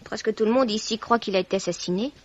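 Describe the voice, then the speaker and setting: An actress playing a persistent young amateur sleuth in a 1950s French radio mystery, with an eager, probing but polite manner. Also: female voice, medium pitch, quick pace, natural voice. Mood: polite, insistent. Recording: dull vintage radio recording.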